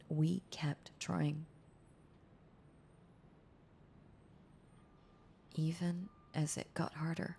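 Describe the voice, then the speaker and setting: Low, breathy, heartbroken ex-girlfriend, acted by a woman. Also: warm tone, heavily acted. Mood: pained, wistful. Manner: halting, confessional, repeating words, breaking into whispers. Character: heartbroken, tender former girlfriend confessing lingering love. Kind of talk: intimate audio roleplay monologue.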